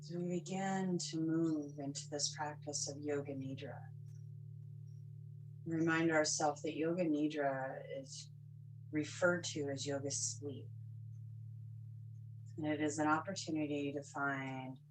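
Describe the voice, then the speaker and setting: Low, soft-spoken woman guiding relaxation. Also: over music, poor audio. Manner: slow, soft-spoken, calm instructions in short phrases. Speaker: yoga instructor. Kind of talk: guided yoga nidra meditation.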